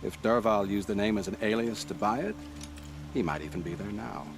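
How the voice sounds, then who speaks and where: An actor playing an authoritative, calculating royal adviser with deliberate, pragmatic delivery in a game cutscene. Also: male voice, on the low side, gravelly. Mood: thoughtful. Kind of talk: dramatic game acting. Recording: music behind the dialogue.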